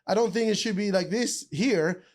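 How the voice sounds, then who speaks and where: Podcast guest, male, from Ecuador. High, lively voice, casual storyteller. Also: moderate pace. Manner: animated storyteller, says bro and dude a lot.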